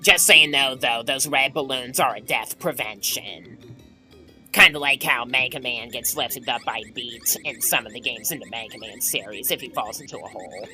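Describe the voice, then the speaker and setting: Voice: medium pitch, normal speed, pushed and bright. A video game commentator giving casual gameplay commentary, explaining things and adding personal opinions. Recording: game music behind the voice. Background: video game music.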